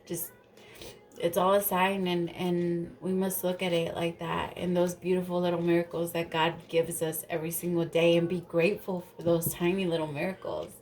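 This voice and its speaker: A woman's voice: on the low side, little pitch movement, moderate pace, darkish tone. A woman reading tarot cards in a casual, rambling way, a little stuffy and nasal from allergies, swearing now and then. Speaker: tarot reader.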